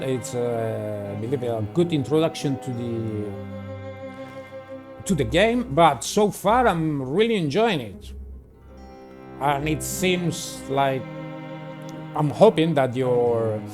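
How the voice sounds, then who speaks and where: Male game-playthrough host, slightly high pitch, narrates on-screen story text with casual asides, soft music underneath. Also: animated, slow pace. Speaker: video game player and host. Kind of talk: reading a visual novel aloud with casual commentary. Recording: music playing behind the voice. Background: background music.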